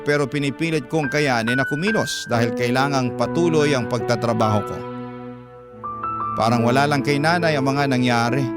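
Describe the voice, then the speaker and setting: A man hosting a radio drama, reading a listener's letter aloud as slow, emotional narration. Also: fairly high pitch. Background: loud background music.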